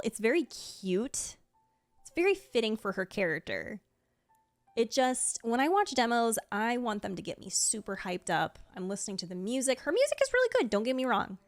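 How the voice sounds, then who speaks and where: A woman's voice: a little high, no echo. Woman in chatty commentary, dry close sound. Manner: chatty, rambling, gushing asides. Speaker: video creator and game streamer. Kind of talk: casual reaction commentary, ranking game trailers. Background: faint music.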